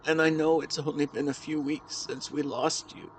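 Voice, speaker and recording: A man playing a grieving, tender husband in an intimate audio drama monologue, speaking haltingly and earnestly to his late wife. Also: a little high, fairly even intonation, slight background noise, close to an everyday voice, rough recording. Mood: grieving, anguished. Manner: halting, earnest, emotional delivery with pauses. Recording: outdoor ambience with birdsong behind. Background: birdsong and nature ambience.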